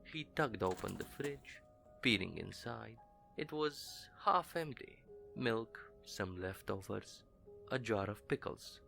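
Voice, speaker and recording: Man, horror storyteller, low voice, very slow, measured, ominous, gravelly. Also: expressive, sharp tone. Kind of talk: scripted horror narration. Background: faint music bed.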